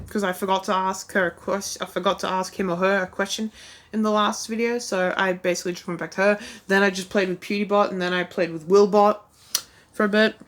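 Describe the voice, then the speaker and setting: A woman vlogging in a loose, rambling way, her sentences full of "like" and "um." She looks back on her own channel with a self-deprecating edge. Her pitch sits in an ordinary middle range. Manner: rambling, heavy use of "like" and "um". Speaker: YouTuber reviewing her own videos. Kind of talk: casual rambling vlog.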